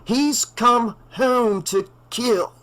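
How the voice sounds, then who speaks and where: A man voicing a grave older doctor who speaks slowly and ominously. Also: very high voice, a bit bright, voice pushed up, heavily acted. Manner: slow, ominous and solemn. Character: grave older male doctor warning of evil.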